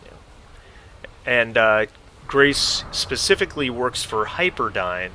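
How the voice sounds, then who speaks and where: A man with a bright, forward voice at a medium pitch runs a tabletop game as game master. He explains things casually and encouragingly, with faint noise on the recording. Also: very animated, slow, poor audio.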